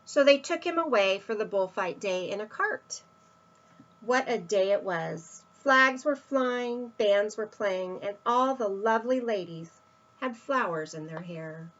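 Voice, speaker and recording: A woman's voice: mid-range voice, animated, unhurried, a bit bright, dull audio. A woman reading a children's picture book aloud, expressively, with emphatic stresses and asides to young listeners. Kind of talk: read-aloud children's storytelling.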